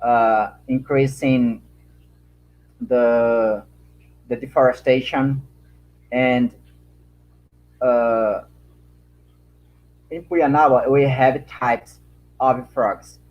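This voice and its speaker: A man with a Brazilian Portuguese accent speaks slowly and haltingly in English, his second language, filling pauses and repeating words as he looks for the right phrasing. His voice sits at a middle pitch and comes through a slightly dull, muffled video-call line. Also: fairly even intonation. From Acre, Brazil. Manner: halting, many fillers and repeated words, searching for words. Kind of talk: panel answer in non-native English.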